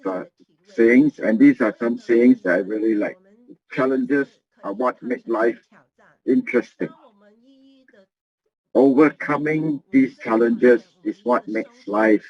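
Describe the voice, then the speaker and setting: A man giving a motivational business talk, earnest and halting, often repeating and restarting his phrases. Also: fairly low pitch. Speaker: business presenter. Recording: muffled lo-fi recording.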